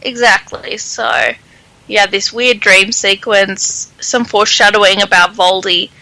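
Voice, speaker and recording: A woman's voice: mid-range voice, steady tone, measured pace, pushed and bright, no echo, poor audio. A woman co-hosting a podcast, chatting casually about a book. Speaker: podcast co-host.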